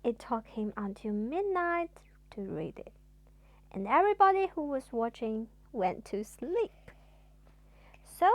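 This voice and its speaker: High-pitched, animated woman reading a children's story. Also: no echo. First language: Chinese. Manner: theatrical, drawn-out emphasis on words. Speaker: mother reading a bedtime story to her child.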